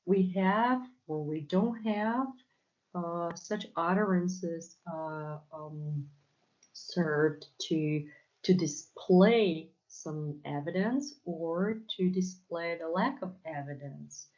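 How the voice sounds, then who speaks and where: A low-pitched woman with a Polish accent lectures unhurriedly, with frequent pauses and repeated words. The sound is muffled and lo-fi. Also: a little mellow. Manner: hesitant, many fillers and repeated words. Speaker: academic lecturer. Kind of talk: academic conference talk.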